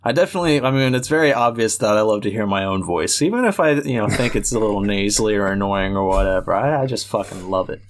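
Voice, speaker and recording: A man's voice: on the low side, expressive, fast talker, hardly any pauses, noisy background. Brief: nasal male co-host, chatty and rambling, laughs a lot, casual language, recorded at home into a podcast mic. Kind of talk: casual chat. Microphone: podcast microphone.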